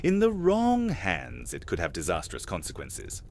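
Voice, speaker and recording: A man doing a lively, nervous vampire alchemist. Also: low voice, measured pace, a little hiss or hum, heavily acted. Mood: wistful. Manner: storytelling, wistful, then stammering. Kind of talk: audio drama acting.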